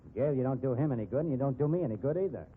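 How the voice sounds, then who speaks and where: A man's voice: mid-range voice, expressive, very fast, slight background noise, close to an everyday voice. Man acting a sneering crooked investigator, tinny old radio. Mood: bullying. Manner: hectoring, sneering, slangy tough-guy talk. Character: crooked bullying male private investigator, sneering blackmailer. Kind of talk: 1950s radio drama acting. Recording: tinny band-limited 1950s radio recording.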